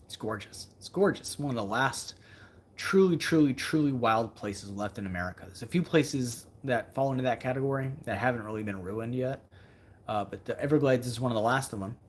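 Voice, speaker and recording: A male fishing creator talks casually to his livestream viewers in a husky, unpolished voice at a middle pitch. He rambles as he thinks things through out loud, and he often repeats a phrase to drive it home. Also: a little fast. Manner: rambling, thinking aloud, emphatic repetition. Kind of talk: casual livestream chat answering viewer comments.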